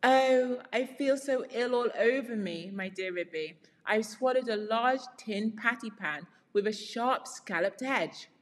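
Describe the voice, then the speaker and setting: A woman's voice: a little high, a little slow, few pauses, fairly bright tone, a little hiss or hum, voice pushed up. Woman doing a storybook lady dog, polite but super fretful and flustered, big echoey room. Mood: alarmed, moaning. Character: anxious, polite female dog tea guest. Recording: very reverberant room.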